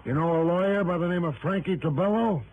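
An actor playing a loud, bullying American con man in 1960s radio drama, blustering and threatening in slang. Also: high-pitched, quick pace, close to an everyday voice. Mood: bullying, indignant. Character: loud bullying con man posing as aggrieved hotel guest. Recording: tinny, noisy old radio broadcast.

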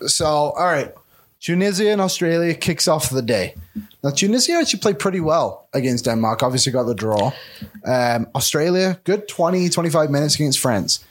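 Young man, relaxed co-host who laughs easily, casual sports banter. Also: fairly high pitch, moderate pace. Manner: easygoing, laughs often.

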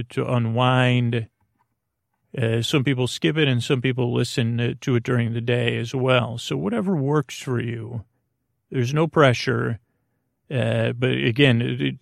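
A man with a creaky voice hosting a bedtime podcast in a soothing, rambling way, halting and drifting off on tangents. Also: medium pitch, dry recording, studio quality. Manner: meandering, halting, repeats and trails off; soothing, lulling. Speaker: podcast host.

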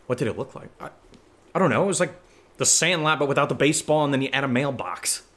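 A man playing a nervous, sarcastic young man in a horror audio drama, speaking in halting, rambling bursts with sardonic asides. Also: medium pitch, lively intonation, a bit bright, close to an everyday voice. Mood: flustered, sarcastic.

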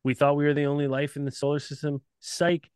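A man's voice: fairly high pitch, monotone, fast, darkish tone, smooth, dry recording, studio quality. A man chatting casually as a podcast guest, laid-back and profane, laughing often between thoughts. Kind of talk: casual chat.

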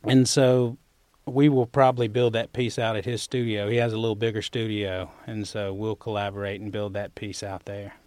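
Male Southern US interview guest, clear smooth voice, conversational, close dry studio sound. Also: lowish voice, flat delivery, normal speed, darkish tone, no room sound. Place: university building studio.

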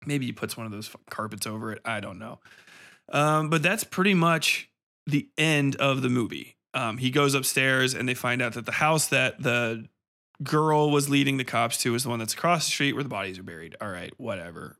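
Bright-voiced man ranting sarcastically about a film. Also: medium pitch, moderate pace. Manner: sarcastic, irreverent, rambling. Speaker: podcast host reviewing a film. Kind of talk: casual, profane film review monologue.

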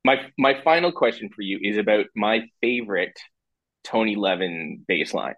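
Male host from Canada, enthusiastic, warm-hearted fan energy, lowish pitch. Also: animated, normal speed, lo-fi sound. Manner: enthusiastic fan, laughs easily. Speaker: podcast host interviewer. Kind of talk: casual interview.

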